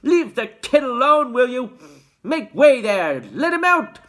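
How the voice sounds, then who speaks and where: Reader putting on a fat shopkeeper man, jolly and excitable, voice shooting way up and hollering, wildly bouncing pitch, storybook shopkeeper. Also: normal speed, breathy edge, full character voice. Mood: urgent. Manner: excited yelling, breaking into laughter.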